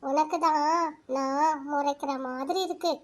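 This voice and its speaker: A woman voicing a kindly, gentle moon spirit who comforts a girl in a cartoon. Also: high voice, steady tone, measured pace. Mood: coaxing. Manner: coaxing and gentle.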